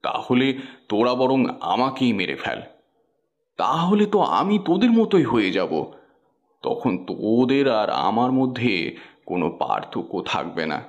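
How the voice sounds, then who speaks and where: A kindly village uncle who runs a snack stall, acted by a man, with a medium-pitched voice that rises and falls widely. His cartoon delivery is earnest and emotive. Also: sing-song, moderate pace, muffled recording. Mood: anguished. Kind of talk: cartoon horror story acting.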